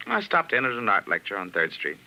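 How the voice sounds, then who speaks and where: An actor playing an evasive, tense husband torn between two women, brusque and dramatic in 1940s radio style. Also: male voice, fairly low pitch, huge pitch swings, fast talker, sharp tone, full character voice, poor audio. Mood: curt. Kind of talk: 1940s radio melodrama acting.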